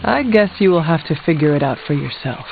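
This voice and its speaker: A grave undead hero in a game, kinda high voice, thin phone-like audio, faint music. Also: male voice, expressive, brisk, gravelly. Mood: solemn. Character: solemn hero returned from the dead. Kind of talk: video game voice acting.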